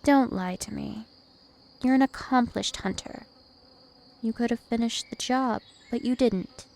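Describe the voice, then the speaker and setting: Slow, seductive vampire princess, acted by a woman. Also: a little high, creaky, darkish tone. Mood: calm, probing. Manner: slow, deliberate, teasing and possessive. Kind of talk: ASMR roleplay.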